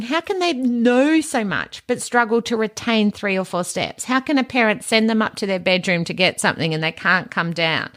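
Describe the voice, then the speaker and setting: Female education podcast host, mid-pitched voice. Informal, emphatic explainer who poses rhetorical questions as she teaches.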